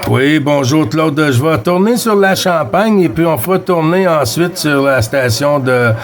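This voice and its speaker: A man with a Québécois accent speaks in a fairly high voice. He chats as a CB operator, politely and haltingly, often repeating himself as he goes. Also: animated, a little hiss or hum. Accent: Québécois French. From Quebec, Canada. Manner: hesitant, courteous, repeats himself. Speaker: amateur CB radio operator. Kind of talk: casual radio contact chat.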